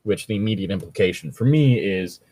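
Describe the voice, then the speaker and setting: A man's voice: fairly low pitch, expressive, brisk, warm tone, a little hiss or hum. A man co-hosting a podcast in casual chat, swearing freely and complaining dryly as he reads and picks things apart. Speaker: podcast co-host. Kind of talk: casual chat, reading and mocking fan fiction.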